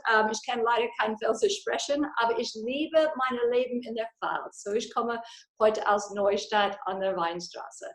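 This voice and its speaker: A woman's voice: on the high side, normal speed, reverberant, low-quality recording. American woman coaching in learner's German. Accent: American English. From Texas, USA. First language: English. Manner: enthusiastic, mixes English into imperfect German. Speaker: business coach. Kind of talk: live webinar presentation. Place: her home, indoor.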